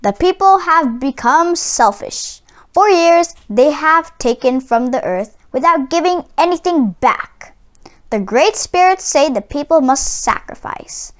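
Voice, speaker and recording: A woman voicing a solemn shaman in a children's storybook, making grave, formal pronouncements in a deliberate way. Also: sits high, animated. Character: solemn shaman relaying the great spirits' decree. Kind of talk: children's storybook read-aloud.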